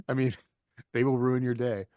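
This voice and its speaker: A man's voice: mid-range voice, flat delivery, normal speed, dry recording, muffled recording. Man, podcast host, close dry sound, informal, chatty, anecdotal delivery. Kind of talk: casual podcast chat and introductions.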